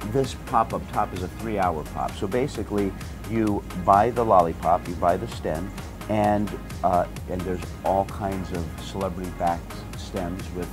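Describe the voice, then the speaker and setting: A middle-aged man casually explaining a candy shop's products, with halting restarts. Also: low voice. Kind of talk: promotional explanation. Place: candy shop indoors.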